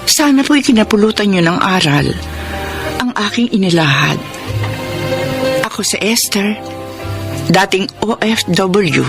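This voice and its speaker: A woman's voice: medium pitch, lively intonation, measured pace, long pauses. Long-suffering Filipino mother, music behind. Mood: earnest. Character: devoted, long-suffering mother, former overseas worker. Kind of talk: radio drama acting with narration. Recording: loud music under the voice.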